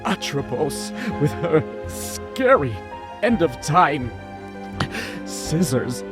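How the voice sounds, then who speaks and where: A woman's voice: low-pitched, sing-song, drawn-out words, music in the background, heavily acted. A woman playing a fretful, exasperated and melodramatic messenger of fate in a comic audio drama, theatrical in delivery. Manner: theatrical, swooping.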